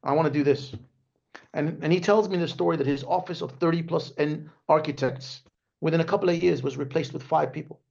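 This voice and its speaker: A man's voice: fairly high pitch, fairly even intonation, brisk, creaky, darkish tone, lo-fi sound. A man raised in Denmark, speaking as a guest on a webinar in a conversational way, often tagging his points with "right?" and restarting his phrases. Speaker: guest speaker, tech executive. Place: remote video call. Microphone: video call microphone.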